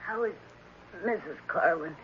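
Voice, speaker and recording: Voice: medium pitch, lively intonation, measured pace, natural voice. A performer voicing an eerie, earnest orphan boy with simple, insistent phrasing in an old-time radio drama. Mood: weak, matter-of-fact. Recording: dull, noisy old radio recording.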